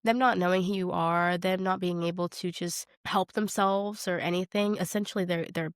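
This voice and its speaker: A woman's voice: fairly low pitch, fairly even intonation, normal speed, clear, resonant tone, dry recording, studio quality. A young woman co-hosting a podcast, talking about films in a casual, candid way with youthful slang. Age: young adult. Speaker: podcast co-host. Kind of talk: casual film discussion chat.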